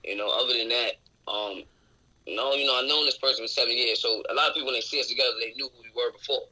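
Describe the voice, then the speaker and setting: A young man in his twenties talks with a raspy, intensely bright and forceful voice. He is outspoken and candid as he answers questions in a radio interview. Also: mid-range voice, steady tone, fast, poor audio. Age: 20s. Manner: outspoken, open and reflective. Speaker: interview guest. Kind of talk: candid casual conversation. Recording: radio show recording.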